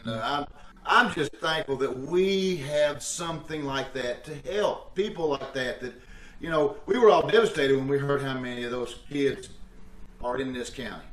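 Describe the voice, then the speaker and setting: A man's voice: mid-range voice, normal speed, fairly bright tone. A man from a theater troupe chatting casually on a video call. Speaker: troupe cast member. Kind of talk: casual chat. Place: video call in an echoey room. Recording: roomy, distant video-call microphone.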